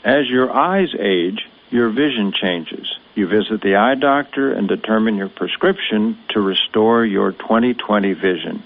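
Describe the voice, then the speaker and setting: A man narrates a scripted radio ad in a smooth, nostalgic storytelling way. His voice sits on the low side. It comes through a narrow, phone-like line. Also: measured pace, hardly any pauses. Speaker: radio ad voice-over.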